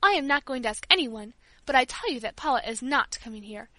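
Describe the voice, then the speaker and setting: A woman reading aloud puts on a bitter, bedridden teenage girl who snaps and scolds. Her voice is high and bright, close and dry in the recording, with a sharp, irritable edge. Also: expressive, normal speed, dry recording. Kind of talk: audiobook character voice.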